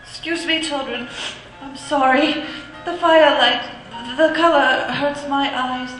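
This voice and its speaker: Grieving mother in a radio play, soft and slow, played by a woman, echoey with music under. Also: high-pitched, steady tone. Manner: tender, holding back tears. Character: grieving working-class mother, gentle. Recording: very roomy reverb with music.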